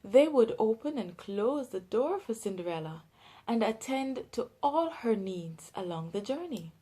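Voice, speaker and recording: A woman with a Jamaican accent, reading a children's story aloud with careful, deliberate enunciation. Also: on the high side, big pitch swings, mellow, husky.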